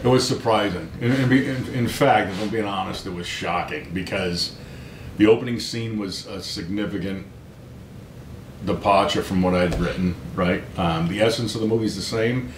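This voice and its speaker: A middle-aged American man chatting as an interview guest, telling anecdotes in a self-deprecating, conversational way full of check-in tags. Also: moderate pace. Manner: self-deprecating, chatty, peppers talk with 'right?' and 'you know'. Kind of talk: casual anecdotal storytelling in an interview. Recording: roomy echo, low rumbling background noise.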